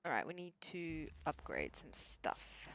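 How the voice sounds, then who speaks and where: Low-pitched, animated woman streaming casually. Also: measured pace, slight background noise. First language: English. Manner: thinks aloud, talks herself through choices. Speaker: streamer, therapist answering questions. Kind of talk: casual livestream chat while gaming.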